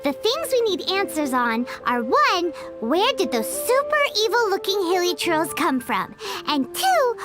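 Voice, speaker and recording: A tiny floating fairy companion from a game. She is chirpy and excitable and speaks in a very high, sing-song voice that leaps up and down. She talks about herself in the third person, and loud game music plays behind her. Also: measured pace, hardly any pauses, exaggerated voice. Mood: excited.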